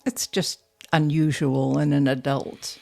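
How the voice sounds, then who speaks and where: A composed, low-voiced young widow boss. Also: female voice, fairly even intonation, measured pace, creaky, warm tone, faint music behind, full character voice. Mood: probing, calm. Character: composed young widow running a steel mill, guarded. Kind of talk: audio drama acting.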